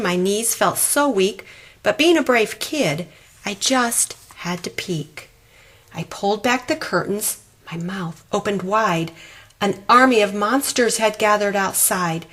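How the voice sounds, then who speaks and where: Female storybook reader, unhurried pace, expressive and dramatic with rhyming verse. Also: mid-range voice. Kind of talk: scripted rhyming children's story read aloud.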